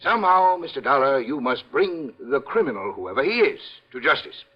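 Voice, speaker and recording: An American actor playing a pompous, self-important insurance executive of about sixty in a 1950s radio drama, fussy and emphatic. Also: male voice, mid-range voice, very animated, moderate pace, breathy edge. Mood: grave, pompous. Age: 60s. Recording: muffled old radio recording.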